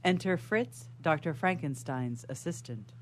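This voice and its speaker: A woman's voice: low voice, animated, unhurried, slight background noise. A woman narrating a radio play, reading scene announcements in a theatrical way.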